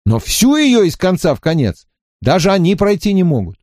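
A man's voice: high-pitched, huge pitch swings, moderate pace, a little mellow, no echo, clean studio sound, voice pushed up. A man voicing an eager, talkative young male wizard student who speaks with earnest fervor in an audiobook reading. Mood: musing. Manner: earnest, fervent, slightly mocking.

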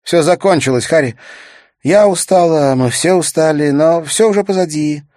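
A man's voice: a little high, moderate pace, a little mellow, no room sound, clean studio sound, pitched above the performer's own voice, natural voice. A man voicing a weary, caring woman in an audiobook, speaking with pleading concern. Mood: tired concern. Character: weary, caring woman comforting a troubled friend.

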